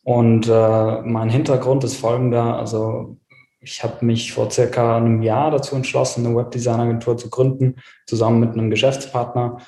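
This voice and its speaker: A man's voice: mid-range voice, flat delivery, normal speed, gravelly, mellow, reverberant. A man, answering interview questions steadily with many fillers. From South Tyrol, Italy. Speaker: podcast guest, agency founder.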